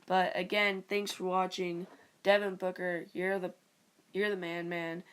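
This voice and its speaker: A woman with a raspy voice and a stuffy nose, giving rambling, casual sports commentary for her video channel. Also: on the low side, level tone, unhurried. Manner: rambling, full of "like" and "you know". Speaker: video creator.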